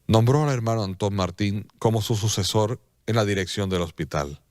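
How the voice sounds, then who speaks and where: A man narrating a scripted radio drama in a solemn, measured storytelling manner. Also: lowish voice, darkish tone, no echo, studio quality. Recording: clear music bed behind.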